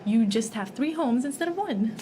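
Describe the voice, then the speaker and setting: A woman's voice: fairly high pitch, animated, moderate pace, warm tone. Chatty young woman, light background hiss. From Egypt. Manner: chatty, full of fillers and laughs. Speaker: guest. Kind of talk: casual interview chat.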